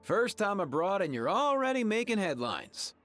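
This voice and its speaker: A man's voice: sits high, big pitch swings, moderate pace, fairly bright tone, soft background music. A man voicing a laid-back, teasing young buddy in a video game, casual and wry. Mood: surprised, teasing.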